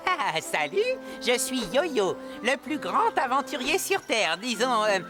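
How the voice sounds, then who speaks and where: A woman's voice: fairly high pitch, very animated, unhurried. A woman voicing a cheeky, boastful, carefree little male toy adventurer in a children's cartoon. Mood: boastful, casual. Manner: cocky, playful. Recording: music behind the voice.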